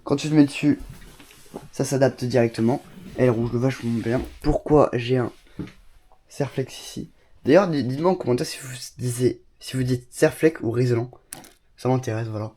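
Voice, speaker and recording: A man with a cold gives an excited tour of his small home studio. He chats casually and keeps drifting into asides and fillers. His voice sits at an ordinary middle pitch. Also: normal speed. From Bordeaux, France. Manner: chatty, enthusiastic, many fillers and asides. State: has a cold. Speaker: YouTuber showing his studio.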